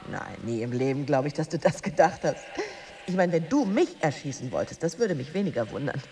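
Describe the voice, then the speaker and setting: A woman's voice: sits low, sing-song, moderate pace, mellow, a little hiss or hum. An actress playing a chatty, condescending married woman needling a lonely rival in a German radio drama, gushing and giggly.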